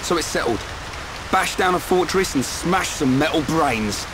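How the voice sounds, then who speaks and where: A man's voice: on the high side, animated, measured pace, noisy background. A man playing a brash, loyal, hot-headed young fighter in a video game cutscene. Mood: defiant.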